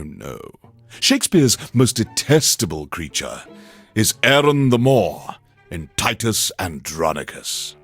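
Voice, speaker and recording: A male alien overlord hosting video game radio with immense self-importance. He declaims like a Shakespearean stage villain, slowly and precisely. His pitch sweeps widely through each grand, cultured phrase. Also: a bit bright, slightly rough, heavily acted. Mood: haughty, scholarly. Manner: pompous, grandiose, deliberate enunciation. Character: pompous, theatrical alien overlord radio host, male, erudite and menacing. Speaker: radio DJ host. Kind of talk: theatrical Shakespearean recitation and radio presenting.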